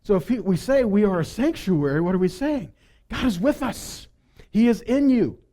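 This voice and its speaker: Animated, high-pitched man preaching. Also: moderate pace. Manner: animated, rhetorical questions and check-in tags like "right?". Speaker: preacher teaching a congregation. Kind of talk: conversational sermon teaching.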